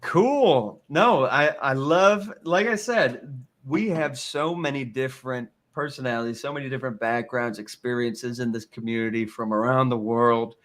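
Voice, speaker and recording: A man's voice: mid-range voice, normal speed, fairly bright tone. A man hosting a casual online talk, upbeat and rambling, with frequent restarts. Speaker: host.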